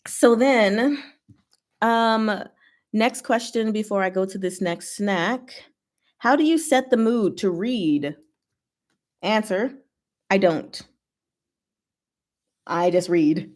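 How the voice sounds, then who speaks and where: A woman's voice: mid-range voice, slow pace, long pauses, a little mellow. A woman hosting a livestream, chatting informally and giggly as she reads and answers viewer comments. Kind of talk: casual livestream chat, reading comments aloud.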